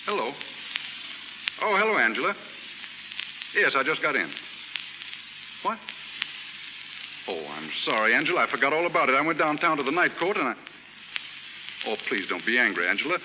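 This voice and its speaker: An actor playing a kindly, well-off novelist, polite and gently amused, in a 1930s radio drama. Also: male voice, medium pitch, sing-song, normal speed, long pauses, lightly acted. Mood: apologetic. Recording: muffled, very noisy, reverberant 1930s radio recording.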